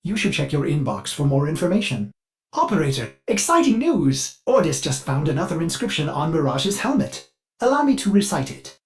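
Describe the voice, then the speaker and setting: A man's voice: a little high, big pitch swings. A man voicing an anxious, devoted ship AI servant for a video game, formal and theatrical, with a brooding streak beneath the politeness. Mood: eager, reciting. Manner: formal, theatrical, measured, fretful. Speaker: ship's AI assistant. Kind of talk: scripted video game dialogue.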